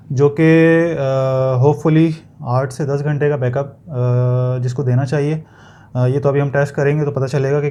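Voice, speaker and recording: A man's voice: on the high side, mellow. A man with a Pakistani accent giving a casual, explanatory product review, feeling for his words and sometimes repeating them, as in an unboxing video.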